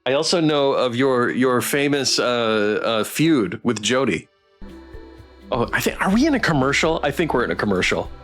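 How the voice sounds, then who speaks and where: A man's voice: medium pitch, lively intonation, moderate pace, fairly bright tone, soft background music. A man doing a theatrical read-aloud playthrough of a visual novel with commentary. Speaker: streamer playing and voicing a visual novel.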